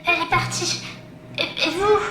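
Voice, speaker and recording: Woman acting a very high, timid small child. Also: fairly even intonation, normal speed, pushed and bright, a little hiss or hum. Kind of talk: audio drama acting. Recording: echoey room, faint music.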